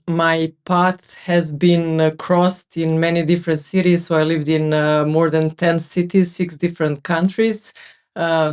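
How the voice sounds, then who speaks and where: Serbian accent lady on a podcast, low smooth voice, pretty even tone. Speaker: podcast guest, sales coach. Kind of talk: conversational self-introduction.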